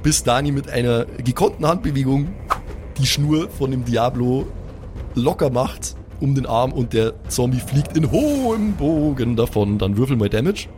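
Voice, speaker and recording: A man's voice: medium pitch, expressive, normal speed, background noise. A man, narrating an improvised tabletop game as game master.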